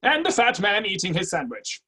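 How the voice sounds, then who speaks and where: An excitable office worker acted by a woman, with extremely swooping pitch and a husky edge. The character is argumentative and easily worked up, in an absurd stage play. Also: normal speed, full character voice. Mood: observing. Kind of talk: play reading.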